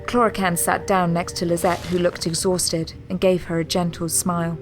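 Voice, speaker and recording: An actress narrating a scripted audio drama as a composed third-person storyteller. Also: female voice, lowish voice, flat delivery, normal speed, over music, lightly acted, low-quality recording. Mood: composed narration.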